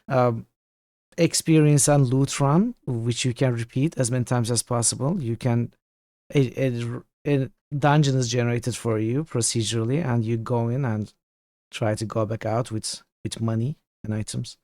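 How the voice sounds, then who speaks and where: Hoarse man streaming from a dry room. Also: mid-range voice, steady tone, dark tone, dry recording. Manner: rambling and halting, thinking aloud. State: hoarse from illness, losing his voice. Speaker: game streamer. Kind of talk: casual livestream chat.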